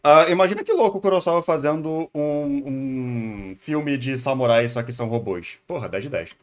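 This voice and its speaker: A man's voice: mid-range voice, moderate pace. A man with a Brazilian accent giving casual live reaction commentary as a streamer.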